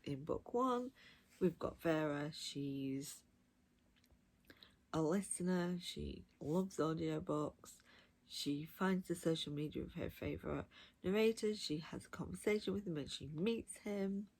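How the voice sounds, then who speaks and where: A woman's voice: low-pitched, measured pace, a little mellow. A woman giving a casual, chatty book review with gushing, emphatic enthusiasm. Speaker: audiobook reviewer.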